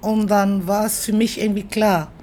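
A middle-aged woman, a performance artist and activist, answering interview questions slowly and thoughtfully with many hesitations and filler sounds. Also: mid-range voice, little pitch movement, warm tone, slight background noise.

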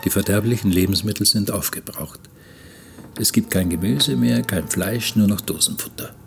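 A lonely seaman voiced by a man narrates a radio play in a low register. He is weary and sombre. A faint ambient noise runs behind him. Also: moderate pace, creaky. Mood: bleak. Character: lonely, weary seaman recounting life aboard a stranded ship.

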